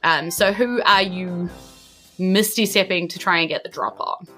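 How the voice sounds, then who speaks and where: Woman, New Zealand accent, game master, bright tone, casual improvised narration, music in the background. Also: lowish voice, moderate pace. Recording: music playing behind the voice.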